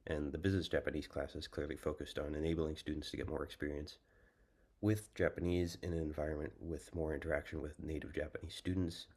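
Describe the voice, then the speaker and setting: A young man with an American accent gives a symposium talk about his study-abroad project. His voice sits extremely low. He speaks in a measured, careful way and fills pauses with ums. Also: steady tone, darkish tone, husky. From United States. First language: English. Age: young adult. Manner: measured, thoughtful, with frequent ums. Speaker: student presenter. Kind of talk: prepared symposium presentation.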